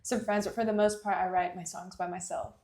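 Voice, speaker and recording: A woman's voice: mid-range voice, steady tone, a little fast, a little mellow. A young woman from Georgia, a singer-songwriter chatting casually as an interview guest, laughing often and filling with "you know". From Athens, Georgia.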